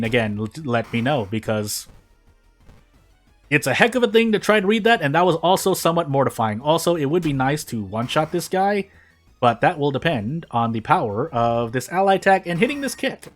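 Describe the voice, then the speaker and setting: A man doing casual gaming video narration and commentary in a rambling, self-deprecating way. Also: fairly high pitch. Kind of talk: casual gameplay commentary and fanfic reading.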